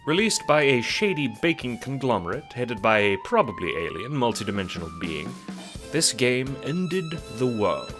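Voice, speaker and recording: A man's voice: medium pitch, very animated, normal speed. A man narrating a scripted audio drama in a theatrical, wry, rhetorical storytelling style. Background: music.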